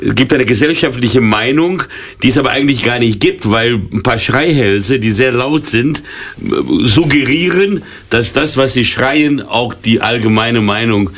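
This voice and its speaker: A man in his sixties with a middle-pitched voice chats in a radio studio. He is a retired football commentator who tells stories with dry humour, hesitating and restarting his sentences. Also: moderate pace, a bit bright. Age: 60s. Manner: anecdotal, wry, many fillers and restarts. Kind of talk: casual radio interview chat.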